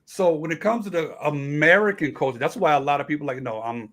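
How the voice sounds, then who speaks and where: A man's voice: on the high side, quick pace, fairly bright tone. A man hosting a casual late-night panel discussion, explaining at length and restarting his sentences. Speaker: host.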